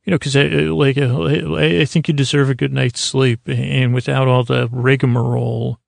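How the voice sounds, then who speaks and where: Creaky-voiced man rambling at bedtime. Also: mid-range voice, level tone, no room sound. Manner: meandering, halting, trailing off mid-thought. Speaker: podcast host. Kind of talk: rambling bedtime monologue to help listeners sleep.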